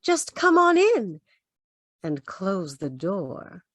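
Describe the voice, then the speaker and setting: Actress as a slow, sultry noir sweetheart. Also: female voice, medium pitch, wildly swooping pitch, no echo, exaggerated voice. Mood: inviting, affectionate. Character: sultry, welcoming woman sweetheart waiting at home. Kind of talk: noir radio drama acting.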